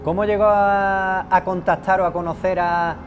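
A man's voice: sits high, little pitch movement, normal speed, lo-fi sound. Young male interviewer over music. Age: young adult. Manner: hesitant restarts, leading questions. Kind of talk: promotional interview. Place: shaded outdoor patio. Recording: music bed underneath. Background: background music.

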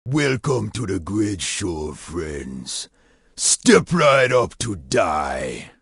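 An actor playing menacing, bloodthirsty male trolls with a raspy voice in a fantasy video game. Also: sits low, big pitch swings, extremely slow, a bit bright, no room sound, clean studio sound, heavily acted. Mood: mocking menace. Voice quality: raspy, gravelly.

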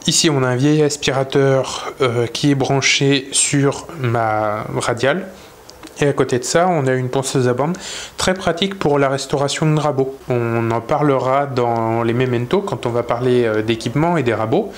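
A man in his thirties casually showing his woodworking workshop, talking in a relaxed way with many pauses and fillers. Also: medium pitch. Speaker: woodworking channel host. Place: indoor wood workshop.

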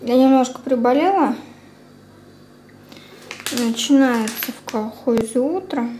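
A young woman chatting casually through a product review as a beauty vlogger, informal and unhurried, thinking aloud. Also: high-pitched, mellow. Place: indoors at a table at home. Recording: clear background room noise.

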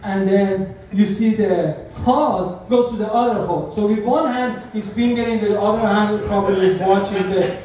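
A young man from Iran with a Persian accent, performing an animated stand-up comedy set on a small club stage, speaking into a handheld mic in slightly broken English. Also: sits high, moderate pace, a little hiss or hum. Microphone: handheld mic, heard from a far camera. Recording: echoey club sound from far off.

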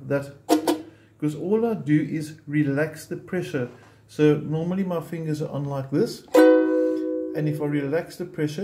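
A man teaching ukulele chords step by step in a patient, methodical way, recorded in a home music room with the camera close on his instrument. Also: on the high side. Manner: patient, methodical, explains while playing.

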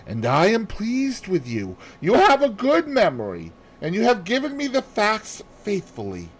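A man voicing a fussy, excitable little Belgian detective in an audiobook, theatrical and precise, dropping in French phrases. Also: sits high, a little slow, husky, pitched above the performer's own voice, heavily acted. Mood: brisk, fussy. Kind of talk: audiobook character voice.

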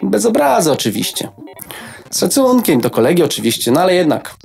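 A woman's voice: lowish voice, sing-song, fairly quick, creaky, a little mellow, faint music behind. A woman playing a boastful, chatty computer network card in a children's radio play, condescendingly polite. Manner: condescendingly polite, showing off facts.

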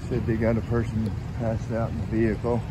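Low flat-voiced man filming outdoors. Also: fairly quick. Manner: low-key, plain running commentary. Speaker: cop watch filmer. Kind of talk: casual live narration while filming. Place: outdoors at a gas station parking lot at night. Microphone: phone camera held by the filmer. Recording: loud outdoor street noise.

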